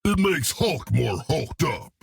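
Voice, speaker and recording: A huge, simple-minded brute with a gravelly, rough voice, talking slowly and bluntly. His pitch swings wildly, and the husky tone is recorded dry and close. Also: sing-song, no echo, full character voice. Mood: boastful, gruff. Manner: slow, blunt, childlike speech about himself in third person.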